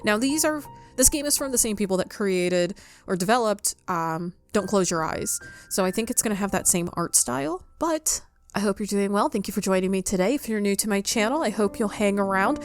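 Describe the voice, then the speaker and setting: Woman, game streamer, relaxed playthrough chat, medium pitch, light music in the background. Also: brisk, hardly any pauses. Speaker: gaming channel host. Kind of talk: casual let's-play commentary. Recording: faint music behind the voice.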